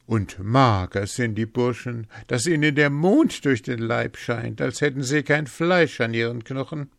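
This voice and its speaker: A man voicing a brash, fearless young village lad in a fairy tale, shouting and blustering in a rustic way. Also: on the high side, expressive, unhurried, no echo, studio quality, pitched above the performer's own voice. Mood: boastful, excited. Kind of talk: fairy-tale storybook character voice.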